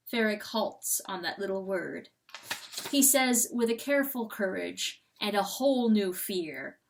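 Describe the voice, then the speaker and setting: A woman reading a novel aloud as dramatic narration, with theatrical, deliberate phrasing. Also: mid-range voice, a little hiss or hum. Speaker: audiobook reader.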